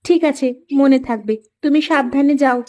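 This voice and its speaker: A woman's voice: sits high, moderate pace, a little mellow, close to an everyday voice. A woman playing a teasing, caring village housewife in a Bengali cartoon. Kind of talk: cartoon story dialogue.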